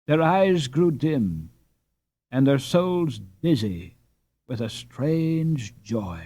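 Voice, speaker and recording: Male audiobook narrator, dramatic reading, very lively pitch, slow pacing. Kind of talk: scripted narration.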